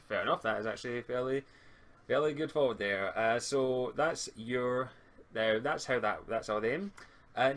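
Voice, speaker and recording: A man's voice: mid-range voice, a bit bright. A man acting as game master, explaining tabletop game rules over an online call, often stammering and restarting his words.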